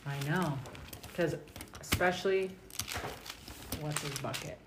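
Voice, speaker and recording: A woman with a lowish voice talks informally among family. Her delivery is playful and slangy. The home recording has clear background noise and clicking. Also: slow. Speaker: family podcast host reading scripture aloud and commenting. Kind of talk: casual family chat with scripture reading.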